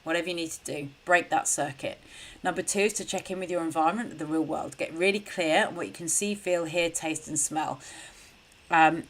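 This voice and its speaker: Woman podcasting solo, chatty and earnest, lowish voice, lots of ums. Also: fairly quick, low-quality recording. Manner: earnest, conversational, many fillers. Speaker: podcast host and coach. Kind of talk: solo podcast advice talk.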